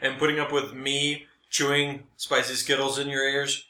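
A man's voice: mid-range voice, flat delivery, moderate pace, pushed and bright, echoey room, poor audio. An American man co-hosting a casual comedy podcast with dry, self-deprecating humor. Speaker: podcast co-host.

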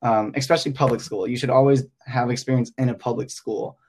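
A man's voice: medium pitch, steady tone, quick pace, darkish tone. A young man from Hong Kong, a teacher and podcast guest, talking casually and hesitantly, thinking aloud with many fillers.

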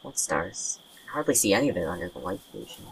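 A woman playing a tired, guarded young friend with wry, deadpan humour in an audio drama. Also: extremely low pitch, quick pace, gravelly, slight background noise, exaggerated voice, low-quality recording. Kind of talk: naturalistic audio drama acting.

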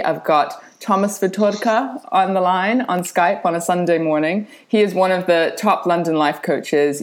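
A woman therapist and life coach hosting a podcast interview, upbeat and encouraging. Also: deep voice.

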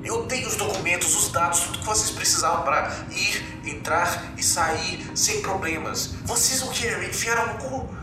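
A man's voice: high voice, normal speed, hardly any pauses, voice pushed up, close to an everyday voice. Guy doing pissed off brazilian cop, swearing and demanding, roomy distant mic, music behind. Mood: furious. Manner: indignant, profane, demanding. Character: angry exemplary policeman, new father, feeling betrayed, cursing.

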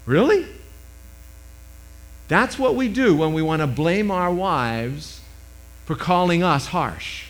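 A man in his sixties with a loud voice, preaching a sermon into a microphone with emphatic swings between loud and hushed. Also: high-pitched, animated, slow, pauses often, rough recording.

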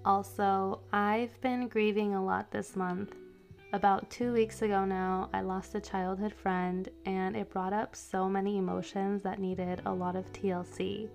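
Soft-spoken woman with a congested, gravelly voice. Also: mid-range voice. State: congested with a cold. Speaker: podcast host. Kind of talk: reflective solo podcast with poetry reading.

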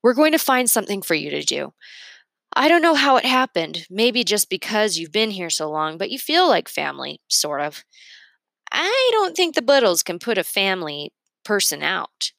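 A woman's voice: mid-range voice, sing-song, normal speed, gravelly, fairly bright tone, no echo. A woman voicing an earnest, eager schoolboy with a frank, guileless manner.

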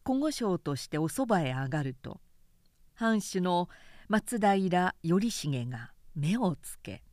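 Slow, expressive woman narrator. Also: on the low side, no echo, clean studio sound. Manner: measured, expressive storytelling delivery. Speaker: narrator reading a short story aloud. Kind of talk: scripted literary narration, audiobook recitation.

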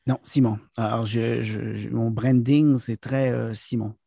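A man with a Québécois French accent talks through an interview in a gravelly, husky voice. His tone is dark and mellow, the sound dry and close. He hesitates often, restarting phrases and filling gaps with "euh". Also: medium pitch, fairly even intonation, a little slow, no room sound. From Quebec. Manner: hesitant, many fillers and restarts. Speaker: guest, trainer and author. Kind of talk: casual interview talk.

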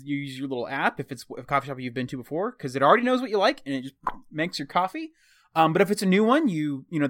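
A man's voice: on the high side, a bit bright, no echo, studio quality. Slightly Texan man, rambling lore narration. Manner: casual, rambling, self-correcting. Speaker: host narrating a fictional world's history. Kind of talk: improvised worldbuilding narration.

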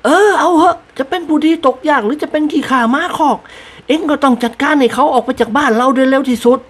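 A reader putting on the high, shrill voice of a sharp-tongued elderly noblewoman who scolds her servant in an audiobook. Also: fast talker, lightly acted. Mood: scolding. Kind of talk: audiobook character voice.

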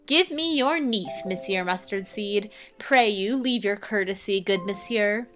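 A woman's voice: mid-range voice, a little slow, a bit bright, faint music behind, lightly acted. A woman playing a pompous, drowsy rustic man turned donkey in an amateur Shakespeare radio play, speaking with mock courtesy. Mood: self-satisfied.

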